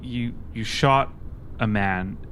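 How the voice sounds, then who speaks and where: A man's voice: mid-range voice, slow pace, fairly bright tone, a little hiss or hum. A man playing an earnest, polite shadowrunner in a tabletop game, speaking in a formal, slightly hesitant and fussy way. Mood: probing, earnest. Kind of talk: improvised tabletop roleplay.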